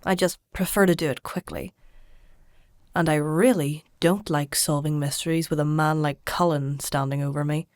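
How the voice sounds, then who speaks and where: An actress playing a clever, defiant healer with a matter-of-fact, insistent manner in a fantasy audio drama. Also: female voice, low voice, fairly even intonation, normal speed, no room sound, studio quality, lightly acted. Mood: reflective.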